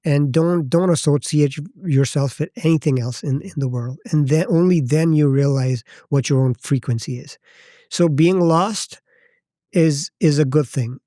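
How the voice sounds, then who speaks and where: A Pakistani-accented man in his thirties speaks as a podcast guest, his voice mellow and close in a dead, dry space. He talks in a reflective, halting way, often stopping to rephrase. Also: a little high, no echo. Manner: thoughtful, halting, circles back to rephrase. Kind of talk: reflective personal storytelling.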